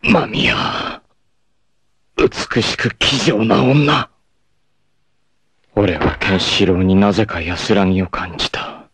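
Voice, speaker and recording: A noble, proud warrior of an 1980s anime. His low voice swings widely between hushed farewells and fierce cries, measured and unhurried. Solemn and dramatic throughout. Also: lively intonation, vocal fry, breathy edge, dry recording, exaggerated voice. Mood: solemn reflective. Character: noble long-haired male martial artist, proud and self-sacrificing.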